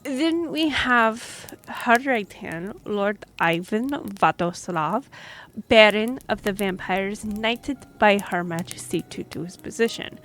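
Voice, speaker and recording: A woman's voice: mid-range voice, animated, slow pace, a bit bright, rough recording. A woman playing a courteous half-elf royal liaison in a fantasy audio drama, speaking formally and explaining things with some hesitation. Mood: formal, explanatory.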